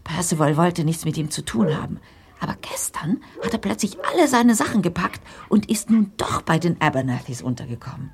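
A woman's voice: lowish voice, expressive, brisk. An actress playing an older, gossipy small-town woman in an audio drama, talkative and emphatic. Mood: sympathetic, gossipy.

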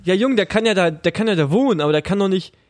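A man's voice: high voice, expressive, a little mellow, no room sound, clean studio sound. Joking man. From Rostock, northeastern Germany. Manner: quick, joking, says "ey" and "Jung". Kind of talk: casual podcast chat.

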